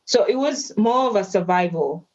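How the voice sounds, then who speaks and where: A woman, telling her life story as an interview guest in a reflective, measured way. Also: mid-range voice, animated, darkish tone, muffled recording. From Tanzania.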